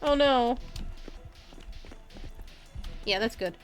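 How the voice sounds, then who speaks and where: A woman's voice: on the high side, drawn-out words, soft background music. A woman giving casual live gameplay commentary in a playful way, talking to herself and her chat. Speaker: game streamer, host.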